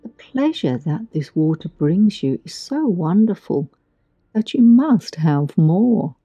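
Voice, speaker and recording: Female meditation guide, lowish pitch, soothing and unhurried, gentle pauses, dull dry recording over music. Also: sing-song, slightly rough, no echo. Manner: soothing, gentle, deliberate delivery with long pauses. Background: background music.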